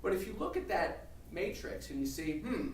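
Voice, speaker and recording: A man's voice: high-pitched, sing-song, normal speed. A man presenting at a seminar, talking conversationally with many restarts and fillers. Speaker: employment lawyer presenting a seminar. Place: seminar room. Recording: roomy, distant mic with faint room noise.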